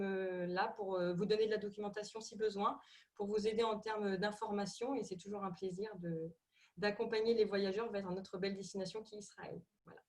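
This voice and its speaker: A woman's voice: mid-range voice, darkish tone. A middle-aged woman with a Parisian accent, presenting on a video call and hesitating often with fillers and repeated words. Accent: Parisian French. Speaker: tourism ministry representative.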